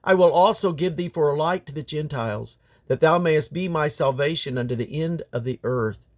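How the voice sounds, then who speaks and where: A man with a moderately high-pitched voice reads scripture aloud. His delivery is solemn and declamatory. He shapes each phrase deliberately and leans on key words with emphasis. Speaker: scripture reader. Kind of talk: solemn Bible reading aloud.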